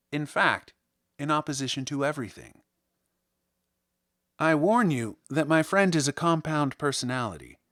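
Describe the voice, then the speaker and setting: A man narrating a literary audiobook, a first-person monologue, with rhetorical, emphatic and deliberate phrasing. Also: medium pitch, sharp tone. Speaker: audiobook reader.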